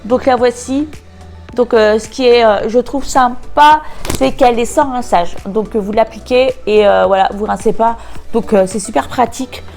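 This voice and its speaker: A woman vlogger chatting casually through an unboxing, nasal and congested from a cold, pausing often with hesitant filler sounds. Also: a little high, creaky, darkish tone, soft background music. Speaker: beauty vlogger.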